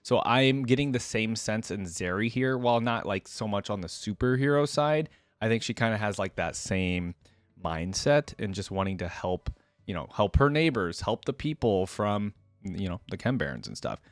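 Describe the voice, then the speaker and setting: A man with a fairly low voice hosts a reaction video, reading story text and adding casual comments. The sound is dry and close, with little room around it. His delivery is informal and conversational. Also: animated, moderate pace, no echo. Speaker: reaction video host and reader.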